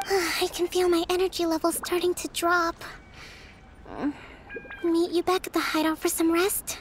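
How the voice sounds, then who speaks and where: An actress voicing a gentle but firm young priestess leader in a video game, speaking in a measured, formal and articulate way. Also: female voice, very high voice, flat delivery, a little hiss or hum. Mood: soft, reflective. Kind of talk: English video game voice acting.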